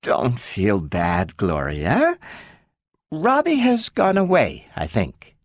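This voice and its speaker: A man doing a gently coaxing mother. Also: fairly high pitch, huge pitch swings, no echo, clean studio sound, voice pushed up. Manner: slow and coaxing. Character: soothing mother, gentle and anxious.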